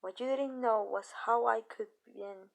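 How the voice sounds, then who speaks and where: A woman playing a calm, possessive vampire owner in an ASMR roleplay, speaking softly and deliberately with pauses. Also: a little high, creaky. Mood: soft, condescending. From Mexico. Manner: measured, deliberate, soft-spoken with pauses. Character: calm, possessive female vampire owner addressing her human. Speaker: amateur voice actress.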